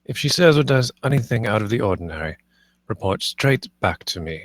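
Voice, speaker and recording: Man acting a stern, low-voiced household master. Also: animated, brisk, dry recording, natural voice. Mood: coldly instructing. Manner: commanding, clipped, formal. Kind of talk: dramatic script table read.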